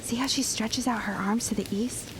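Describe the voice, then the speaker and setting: Woman playing a plucky, inquisitive high-voiced woman. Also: steady tone, normal speed, noisy background, natural voice. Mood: intrigued, hushed. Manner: earnest, old-fashioned formal phrasing. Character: eager, inquisitive, plucky woman, loyal neighbour. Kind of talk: period audio drama acting.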